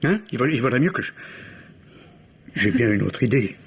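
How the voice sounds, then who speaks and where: An actor playing an anxious middle-aged professor and worried father in an old radio mystery play, halting and repeating his words. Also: medium pitch, big pitch swings. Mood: anxious, agitated. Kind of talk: old French radio drama acting. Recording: dull lo-fi vintage radio, clear hiss.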